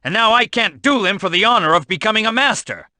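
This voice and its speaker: A man's voice: high voice, lively intonation, fast talker, sharp tone, no echo, exaggerated voice. A man voicing an earnest, bitter young rival apprentice in a video game, with an emphatic, aggrieved way of speaking. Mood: indignant, aggrieved. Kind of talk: dramatic video game voice acting.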